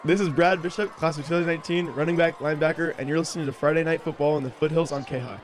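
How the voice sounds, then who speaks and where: A teenage American man, a high school football player, reading a scripted radio station ID. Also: steady tone, very fast, a faint crowd. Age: teens.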